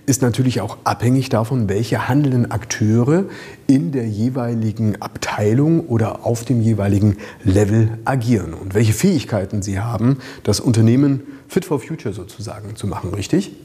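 A man's voice: fairly low pitch, normal speed, warm tone. A man hosting a business podcast, friendly and teasing, steering the talk with questions. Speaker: podcast host.

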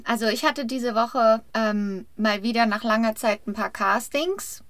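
A woman's voice: on the high side, a little slow, no echo. A German woman, an actress, chatting casually on a podcast and acting out the dialogue in her stories. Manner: animated storyteller who acts out dialogue. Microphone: home podcast setup.

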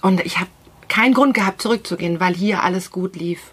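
A woman from Berlin in her thirties talks freely as a guest, recorded in a small shop. Her voice has a husky, slightly breathy edge, and she tells her stories with animated warmth. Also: lowish voice, a little fast. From Berlin, Germany. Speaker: guest, vintage shop owner. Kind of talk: casual interview chat.

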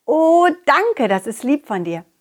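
A woman voicing a kind, loyal, confident dog in a children's story, musing gently and simply. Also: high-pitched, very animated, unhurried, pitched above the performer's own voice. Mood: polite, curious. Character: confident, loyal, kind dog hero reassuring his friend.

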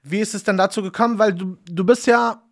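Man, talk show host, relaxed chat, halting with fillers, a touch high-pitched. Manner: hesitant, many fillers, self-deprecating jokes. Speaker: podcast host. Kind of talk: casual interview chat.

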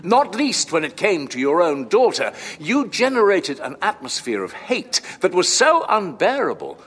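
An actor playing a courteous, long-winded, analytical doctor in a formal, measured audio drama performance. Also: male voice, high voice, huge pitch swings, a bit bright, big-room sound, exaggerated voice. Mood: accusing, solemn. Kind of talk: full-cast audio drama acting.

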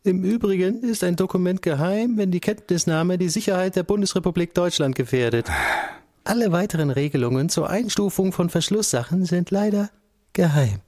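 A man's voice: high-pitched, animated, moderate pace, a little mellow, no echo, studio quality. An actor playing an evasive, officious male bureaucrat in a radio play, formal and dismissive.

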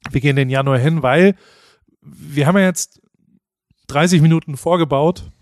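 A man's voice: a little high, normal speed, a little mellow, no echo, studio quality. A man in his thirties on a casual podcast, teasing and joking with his co-host and laughing often. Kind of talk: casual chat. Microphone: headphones on, recording remotely.

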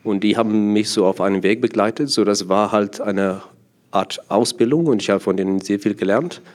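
A man's voice: on the low side, moderate pace, darkish tone. A young man from South Africa, a native Afrikaans speaker with an Afrikaans accent, answering interview questions hesitantly as he searches for words in his second language. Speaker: interview guest, part-time sommelier.

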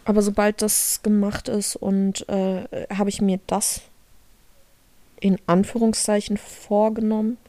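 A woman's voice: medium pitch, little pitch movement, unhurried, warm tone, no echo. Young german woman chatting on a podcast, laughs a lot, kinda rambly, dead dry sound. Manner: chatty, laughs often, trails off and restarts. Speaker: podcast co-host. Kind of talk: casual podcast chat.

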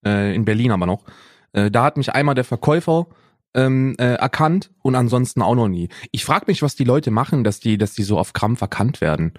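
Man, sardonic podcast co-host, slangy chatter, mid pitch, dry close sound. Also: animated, a little fast, dry recording. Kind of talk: casual chat.

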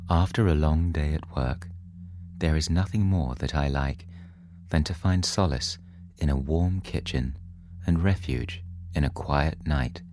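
Very deep, slow male bedtime narrator. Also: a little mellow, a little hiss or hum. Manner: soothing, measured. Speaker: story narrator. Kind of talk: scripted bedtime story narration.

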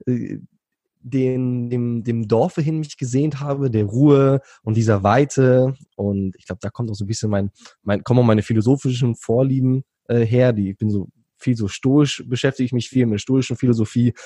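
A man's voice: medium pitch, normal speed, dark tone, husky, no room sound. A young man from Berlin, recounting his youth as an interview guest in a rambling way, with many fillers and restarts. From Berlin, Germany. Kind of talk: relaxed conversational storytelling.

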